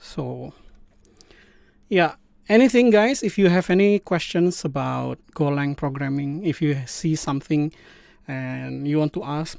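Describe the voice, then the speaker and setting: Indonesian-accented man with a gravelly voice. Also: fairly high pitch, darkish tone, dry recording. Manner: halting, many fillers and restarts. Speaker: live stream host. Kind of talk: casual coding stream chat.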